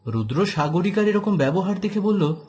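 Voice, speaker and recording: A man's voice: high-pitched, lively intonation, moderate pace, a little mellow. A man narrating a scripted detective story in a dramatic style.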